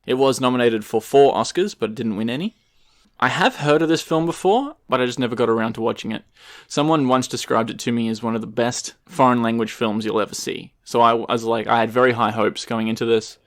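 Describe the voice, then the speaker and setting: A man's voice: medium pitch, brisk. A man co-hosting a casual film podcast, laying out background facts about a movie with plenty of ums and uhs. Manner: informative, shares background facts with fillers. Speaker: podcast co-host.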